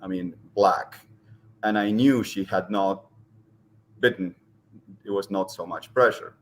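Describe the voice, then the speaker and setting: A middle-aged man from Argentina with an Argentine Spanish accent, speaking as an interview guest and pausing often with ums and restarts. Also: sits low. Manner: hesitant, many ums and restarts. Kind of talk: casual interview talk.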